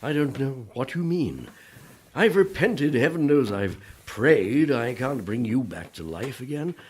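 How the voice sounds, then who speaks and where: An actor playing the gloomy, repentant ghost of a Puritan pastor in a radio play, wistful and self-pitying in old-fashioned formal speech. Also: male voice, mid-range voice, lively intonation, measured pace, a little mellow, breathy edge. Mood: despairing, defensive.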